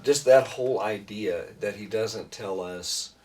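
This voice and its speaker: A middle-aged man with a fairly low voice talks like an informal pastor hosting a casual chat. He rambles in a self-deprecating way. The mic sits at a distance, with some noise in the room. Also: measured pace, darkish tone. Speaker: pastor and podcast host. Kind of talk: casual conversational chat about faith. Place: small room with foam panels.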